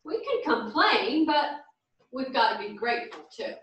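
High, bright effusive older lady played by a woman. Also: very animated, a little slow, highs cut off. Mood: earnest. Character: effusive older woman, proud former professional. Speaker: actor in a staged reading. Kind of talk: staged play reading. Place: small theatre stage, indoors. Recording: very echoey theatre, distant microphone.

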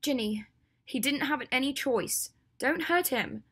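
A woman's voice: high voice, measured pace, fairly bright tone, slightly rough, pitched above the performer's own voice, full character voice. A woman voicing a protective, stubborn young woman in an audiobook, urgent and insistent. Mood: pleading. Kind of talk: audiobook character acting.

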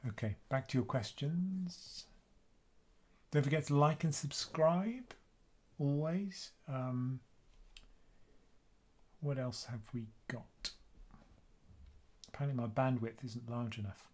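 A soft-spoken British man in his fifties answers viewers' questions live from a webcam at his desk. His delivery is casual and chatty, sitting in the middle of the usual range for a male voice, and it is recorded in a small room at home. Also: mid-range voice, slow, pauses often, a little hiss or hum. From United Kingdom. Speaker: investing channel host. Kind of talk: live Q&A stream.

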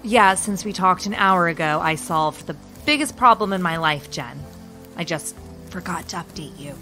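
A woman's voice: fairly low pitch, moderate pace, sharp tone. A woman playing a sardonic, aloof teenage girl in an audio drama. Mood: snide. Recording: music under the voice.

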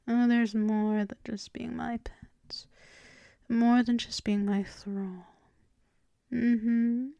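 Slow, whispering vampire mistress acted by a woman. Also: on the high side, flat delivery, no room sound, exaggerated voice. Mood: teasing, commanding. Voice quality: breathy whisper mixed with soft voiced speech. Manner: slow, lingering, repeats phrases, teasing endearments. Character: dominant, teasing immortal vampire mistress, possessive and coaxing. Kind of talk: ASMR roleplay, improvised intimate whispering. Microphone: close microphone.

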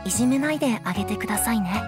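A woman voicing a sweet, earnest little cartoon boy who speaks gently and politely. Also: medium pitch, lively intonation, rapid-fire, loud music behind the voice, lightly acted. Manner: gentle, pleading, well-mannered.

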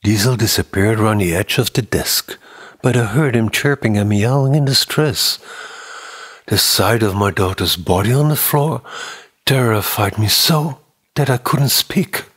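A man in his sixties with a husky voice, giving a tense, dramatic audiobook reading of a mystery novel close to the microphone. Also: on the low side, a little slow, fairly bright tone. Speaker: audiobook narrator. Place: indoor room, office or home studio. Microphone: close condenser microphone on a boom arm.

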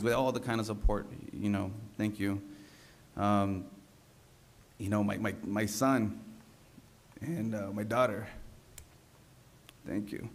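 A man in his thirties speaks in a lowish, very even voice. He is a council member giving informal thanks, halting and repeating himself in an unpolished way. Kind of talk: informal acceptance speech at a public meeting. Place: large council chamber, indoors. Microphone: gooseneck desk microphone.

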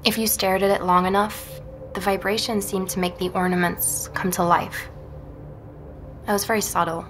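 An actress playing an earnest, determined young woman investigator in an audio drama, speaking in a measured, reflective way. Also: medium pitch, little pitch movement, a bit bright, soft background music, natural voice. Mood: thoughtful, weary. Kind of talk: audio drama, conversation and first-person narration.

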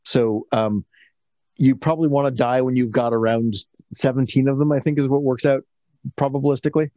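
A man's voice: medium pitch, fairly even intonation, a little fast, warm tone, dry recording. A man casually explaining game rules on a group video call, repeating phrases as he gets started. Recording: dull band-limited online call.

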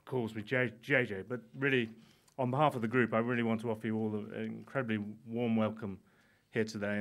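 A man in his forties welcoming a conference audience from a lectern, stumbling often over his words and restarting. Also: fairly low pitch. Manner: halting, many stammered restarts. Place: conference hall, indoors. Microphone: lectern mic.

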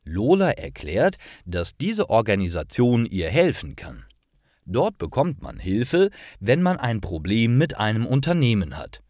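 A man reading a scripted story for language learners in a deliberate, expressive storytelling manner. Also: lowish voice, dry recording, clean studio sound. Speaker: narrator of stories for German learners. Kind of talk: scripted narration, slow read-aloud story for learners.

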